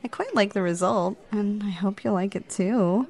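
A woman's voice: medium pitch, moderate pace, darkish tone, lightly acted. Flirty, teasing woman acting on a date. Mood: sultry, teasing. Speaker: ASMR roleplayer. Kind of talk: ASMR roleplay.

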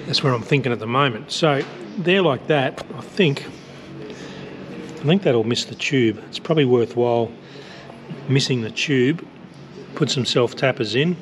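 A man's voice: medium pitch, a little slow, long pauses. A man casually talking through his aircraft build as he works, thinking aloud with plenty of hesitations. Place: large metal hangar workshop. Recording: echoey hangar, faint background noise.

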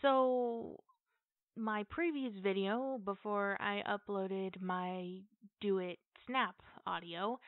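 A woman giving a casual, unscripted channel announcement, halting and informal as she speaks straight to her listeners. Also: mid-range voice, no room sound. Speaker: channel host. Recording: thin, band-limited phone-like audio.

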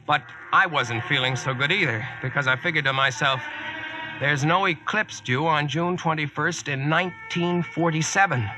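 A man's voice: on the high side, normal speed, noisy background, natural voice. A man acting a brash, slangy modern American stranded at King Arthur's court in a 1940s radio drama. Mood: wry narration. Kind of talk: 1940s radio acting with first-person narration. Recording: vintage 1940s radio recording, music behind.

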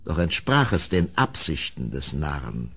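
An actor narrating a horror tale as a grave storyteller in a vintage German radio play. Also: male voice, extremely low pitch, big pitch swings, measured pace, a bit bright, slightly rough, full character voice. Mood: measured.

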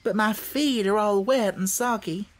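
A woman's voice: medium pitch, unhurried, voice pushed up, lightly acted. A woman voicing an earnest, outspoken young country girl in a storybook, speaking emphatically. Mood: earnest, friendly. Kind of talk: storybook character voice.

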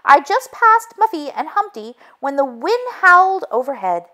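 A woman voicing a flustered, tender-hearted female chicken from a storybook, excitable and halting. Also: high-pitched, voice pushed up, heavily acted.

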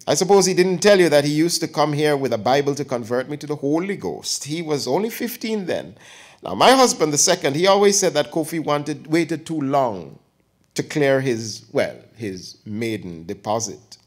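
A man's voice: a little high, expressive, moderate pace, pitched above the performer's own voice. A man reading an audiobook, voicing an elderly aunt who teases and gossips with theatrical flair. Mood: teasing. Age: older. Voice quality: teasing, theatrical, lapsing into dialect.

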